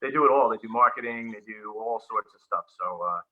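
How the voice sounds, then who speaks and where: A man's voice: lowish voice, fairly quick. Joking man co-host, muffled call audio. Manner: joking, easygoing banter. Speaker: podcast co-host. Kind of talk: casual video-call chat show. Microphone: video call.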